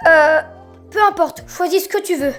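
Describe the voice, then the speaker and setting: A woman plays a bewildered young cartoon heroine with a very high, girlish voice. She is anxious and flustered, hesitating through her words in a fan dub, with light music behind her. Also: normal speed, fairly bright tone. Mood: resigned.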